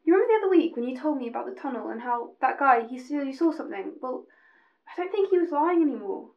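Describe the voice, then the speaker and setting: A woman's voice: sits high, animated, fast, lo-fi sound. A woman playing a chatty, sceptical railway worker in an amateur audio drama. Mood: shaken, insistent. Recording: clear background noise and ambience.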